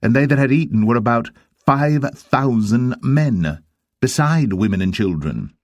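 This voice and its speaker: A man narrating dramatised scripture in a solemn, measured manner. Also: medium pitch, animated, dry recording.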